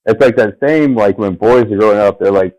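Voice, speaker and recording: A man's voice: fairly low pitch, a little fast, darkish tone, no room sound, low-quality recording. A man rambling casually at his computer, drifting off and filling gaps with fillers as he talks over his own video. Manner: meandering, trails off, many fillers. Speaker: vlogger.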